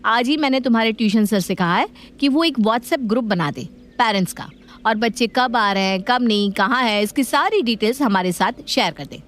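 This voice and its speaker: A woman playing a strict, watchful modern mother with a firm, knowing, scolding manner in an animated family story. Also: on the high side, normal speed, natural voice. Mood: stern, knowing.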